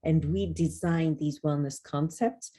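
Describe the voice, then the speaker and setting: A woman's voice: sits low, a little slow, warm tone. A woman in her forties from Kenya, speaking articulately and earnestly as a guest answering questions in a conversational interview. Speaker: wellness consultant and author, podcast guest.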